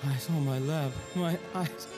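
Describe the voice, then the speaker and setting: An actor playing a frightened, dying old man in a 1940s-style radio drama, gasping out halting broken English in a weak voice. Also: a little high, natural voice. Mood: gasping, fearful. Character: frightened, dying old male eye-maker. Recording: loud music over radio drama.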